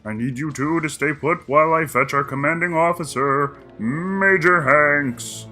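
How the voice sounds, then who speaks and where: Slow, highish snowman army private played by a man. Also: pushed and bright, faint music behind. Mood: wary. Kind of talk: comic audio drama acting.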